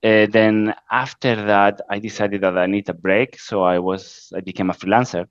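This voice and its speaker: A middle-aged man from Spain with a Spanish accent, answering interview questions in halting English full of pauses and fillers. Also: fairly low pitch, steady tone, no echo, muffled recording. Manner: halting, frequent "uh" fillers, non-native grammar. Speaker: interview guest, creative director.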